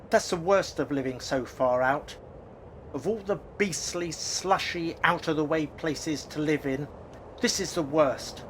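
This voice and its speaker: A man's voice: on the high side, slight background noise, lightly acted. A man playing a grumbling yet hospitable elderly father, a retired working man, in a radio play, with a blustery, exclamatory manner.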